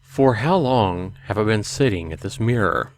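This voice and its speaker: A man's voice: on the low side, animated, normal speed. A man hosting a let's play, reading a visual novel aloud expressively with casual commentary.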